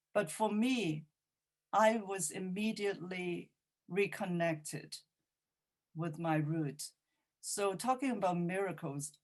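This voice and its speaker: A woman whose first language is Cantonese, a poet and translator answering an audience question by telling a reflective story with frequent restarts. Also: medium pitch. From China.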